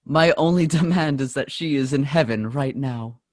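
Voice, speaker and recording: Man as remorseful male soldier, middling pitch, earnest, grieving, devoted, stage-play table read. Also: normal speed, natural voice.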